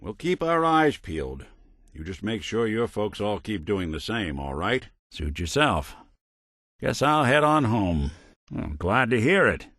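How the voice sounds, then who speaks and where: An actor playing a wry, world-weary, decent middle-aged robot private detective in a video game, in a streetwise American drawl with a hard-boiled noir style. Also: male voice, lowish voice, sing-song, unhurried, a bit bright, no echo, studio quality, exaggerated voice. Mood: wry, knowing.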